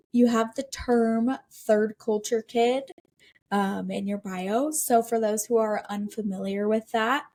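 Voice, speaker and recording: A woman hosting a podcast, asking thoughtful questions in a casual, unhurried way. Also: fairly high pitch, darkish tone. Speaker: podcast host.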